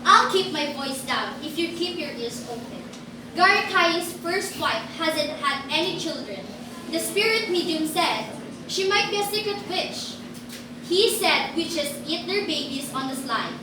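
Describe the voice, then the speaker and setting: High-pitched, indignant girl in an echoey room, acted by a woman. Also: animated, measured pace. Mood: indignant, urgent. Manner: emphatic, argumentative. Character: outspoken, indignant girl defending women and babies. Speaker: student performer in a reader's theater. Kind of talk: amateur reader's theater dialogue. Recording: echoey room, far from mic, noisy.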